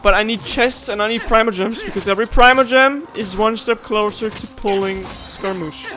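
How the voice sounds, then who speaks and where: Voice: medium pitch, a little fast, pushed and bright. A gaming streamer giving casual let's play commentary, playful and rambling, often muttering to themselves. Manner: playful, rambling, talks to self. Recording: voice over game music.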